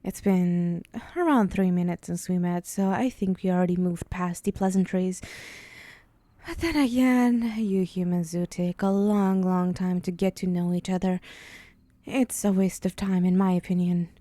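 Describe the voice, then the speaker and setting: A woman voicing a haughty, teasing and menacing demon in an ASMR roleplay, condescending and deliberate, with breathy whispered moments. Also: on the low side, fairly even intonation, warm tone, no room sound, close to an everyday voice. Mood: condescending, curious.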